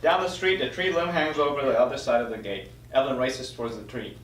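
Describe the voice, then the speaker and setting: A man's voice: mid-range voice, fast, fairly bright tone, slight background noise. Man reading stage directions, echoey room. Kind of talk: scripted narration at a table read. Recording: roomy echo, distant microphone.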